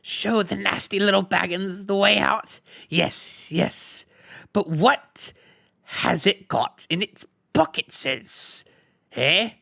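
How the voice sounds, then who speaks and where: A wretched, greedy cave creature hisses and whispers, sly and creeping, as a man reading aloud puts it on. The voice sits a little off the mic and slides up above the reader's own pitch. The room is dry. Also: slow, gravelly, sharp tone, no room sound, full character voice. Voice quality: hissing, whispery.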